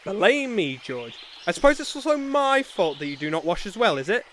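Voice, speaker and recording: A man's voice: high-pitched, very animated, normal speed, a bit bright, slight background noise. A man playing a pompous, stubborn noble knight in a comedy fantasy sketch, haughty and indignant. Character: pompous, blustering noble knight, proud and obstinate.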